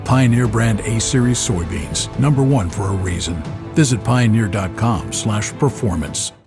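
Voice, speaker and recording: A low-voiced American man does a scripted farm product commercial. Clear music runs behind the voice-over. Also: a little slow.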